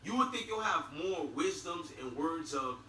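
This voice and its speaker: A man's voice: fairly high pitch, expressive, moderate pace, a crowd in the background. A middle-aged man with a Haitian accent, giving a blunt, profane video commentary with mocking laughs. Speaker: YouTube commentator. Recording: echoey room, far from mic.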